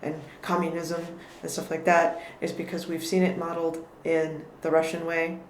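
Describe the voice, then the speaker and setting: A woman's voice: deep voice, monotone, normal speed. A woman guest in a casual political conversation, thoughtful and careful with her words, often restarting her sentences. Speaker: podcast guest. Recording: echoey room with faint noise.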